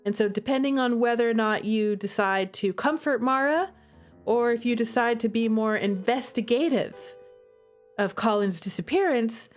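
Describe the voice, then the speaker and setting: A woman streamer chatting casually and playfully through commentary on her own game, stressing her points with little tag questions. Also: mid-range voice. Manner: playful, giggly, emphatic; repeats "okay?" and "all right?" as tags. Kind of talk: casual livestream commentary and chat. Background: background music.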